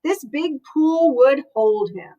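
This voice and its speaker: A woman reading a children's picture book aloud in an expressive, animated way, as if to young listeners. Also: high voice, takes it slowly, dull audio.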